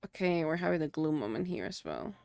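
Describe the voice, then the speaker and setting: A woman's voice: sits low, very animated, a little fast, vocal fry, a little mellow, dry recording. A young woman whose first language is Spanish, streaming live and chatting casually with viewers, thanking them and muttering to herself as she works. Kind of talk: casual live-stream chat while working.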